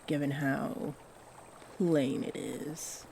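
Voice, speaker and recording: A woman voicing a kindly fairy in a fantasy roleplay, gently encouraging and fussing over the listener with sweet endearments. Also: sits low, steady tone, extremely slow, vocal fry, slight background noise, full character voice. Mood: thoughtful, fussing. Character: kindly, doting fairy who gives magical makeovers. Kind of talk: fantasy roleplay audio drama, addressing the listener.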